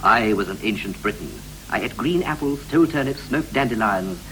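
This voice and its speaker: An actor playing a wistful British husband recalling his boyhood in clipped, poetic verse with deliberate pauses, in the style of a 1960s radio play. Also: lowish voice, expressive, pushed and bright, low-quality recording. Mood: boyish reminiscence. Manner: incantatory short phrases, deliberate pauses. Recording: vintage 1960s radio recording with hum and hiss.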